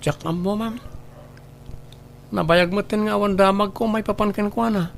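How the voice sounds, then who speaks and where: A woman's voice: mid-range voice, fairly even intonation, moderate pace, creaky, a little hiss or hum, natural voice. A woman playing a timid, hard-working youngster in a radio drama, speaking in a deferential, pleading manner. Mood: respectful, shy. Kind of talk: Ilocano radio drama acting.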